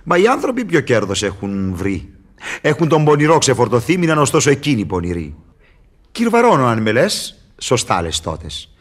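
An actor playing a sly, mocking devil tempter in a theatrical radio verse drama. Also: male voice, medium pitch, wildly swooping pitch. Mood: mocking, haughty.

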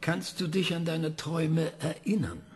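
An actor playing a calm male scientist who questions a ship computer with patient, probing questions. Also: on the high side, measured pace, slightly rough.

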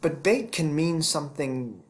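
A man in his fifties giving an explanatory theology lecture as a professor, speaking deliberately and stressing key words. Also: on the high side, expressive. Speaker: professor teaching scripture.

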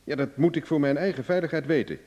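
An actor playing a cocky, cool-headed private investigator in a Dutch radio drama, glib, ironic and self-assured. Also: male voice, a little high, quick pace, darkish tone, highs cut off, close to an everyday voice. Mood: cool, bargaining. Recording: reverberant old radio play recording.

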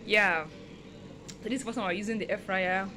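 A Nigerian woman with a mid-pitched voice talks casually to her viewers as a food vlogger. She is chatty, thinking aloud and exclaiming as she goes. Clear kitchen noise runs behind her on a handheld phone recording. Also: fairly even intonation, a little fast. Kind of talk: casual cooking vlog chat. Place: small home kitchen, indoors. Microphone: handheld phone behind the camera. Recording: noisy phone recording with kitchen cooking sounds. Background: clear cooking noise.

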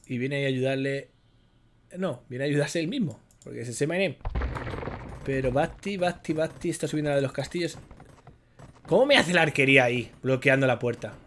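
Brief: young male esports caster, lively analytical commentary, headset mic. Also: mid-range voice, brisk, a little hiss or hum. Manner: analytical, lively, reacts to plays. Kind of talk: live game commentary.

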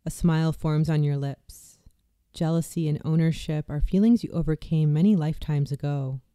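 Very mellow, smooth meditation guide. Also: mid-range voice, fairly even intonation, dry recording, clean studio sound. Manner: slow, soft, soothing delivery with hushed moments. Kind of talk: guided visualization narration.

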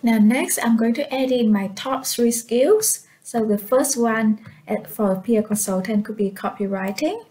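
A woman presents a software tutorial in a somewhat high voice. She is a native Vietnamese speaker and explains the task step by step.

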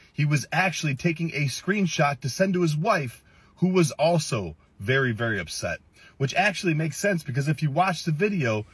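A man in his thirties speaking to camera in a measured, serious way, giving an update. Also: mid-range voice, slightly rough, no echo. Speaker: social media commentator. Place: inside a car. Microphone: phone in front of him.

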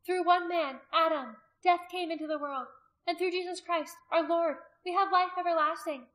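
A woman's voice: extremely high pitch, steady tone, moderate pace, a bit bright, voice pushed up. A woman narrator voicing a devout condemned wife in an audiobook, earnest, grieving yet steadfast. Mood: earnest pleading. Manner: earnest, pleading, prayerful.

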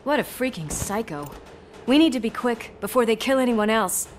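An actress playing a tough but caring, loyal police officer in a video game, brisk and wry. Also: female voice, a little high, expressive, slight background noise, close to an everyday voice. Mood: focused, supportive.

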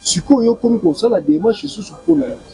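Congolese interviewer guy, 30s, raspy gravelly voice, jumps in to finish the guest's words. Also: very high-pitched, sing-song, a little fast, warm tone, rough recording. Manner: prompting, finishes the guest's phrases. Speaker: street interviewer. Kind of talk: interview questions. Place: outdoor courtyard by a building.

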